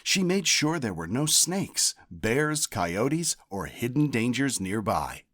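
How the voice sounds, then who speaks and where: Expressive man reading a storybook. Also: mid-range voice, slow. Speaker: storyteller reading a children's picture book. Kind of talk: scripted read-aloud narration.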